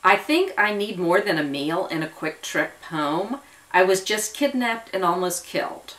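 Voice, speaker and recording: A woman's voice: on the low side, lively intonation, normal speed, fairly bright tone. A woman voicing a shaken but determined married woman in an audio story, earnest and insistent as she wants answers. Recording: voice distant from the mic.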